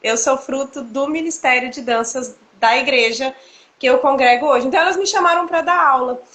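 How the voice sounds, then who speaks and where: A woman, telling her story in a casual chat over a video call, animated and quick to laugh. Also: high voice. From Cuiabá, Mato Grosso, Brazil. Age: 30s. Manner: animated, laughs often, many fillers. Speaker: church dance ministry leader, guest.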